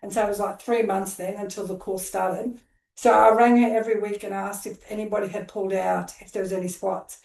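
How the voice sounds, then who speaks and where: Middle-aged Australian woman, social worker guest; chatty, rambling delivery, average pitch, roomy echoey recording. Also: steady tone, a little fast, vocal fry, darkish tone, far from the mic. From Queensland, Australia. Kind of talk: casual storytelling interview. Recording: roomy echo.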